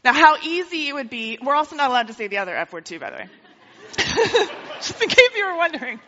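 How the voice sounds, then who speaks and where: Woman pastor joking around mid-sermon, bright voice kinda high, dull lo-fi church recording. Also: very animated, fast talker, slight background noise. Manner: joking, teasing, laughs often. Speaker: preacher. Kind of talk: sermon with playful jokes. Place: church sanctuary. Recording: lo-fi hall recording with long reverb.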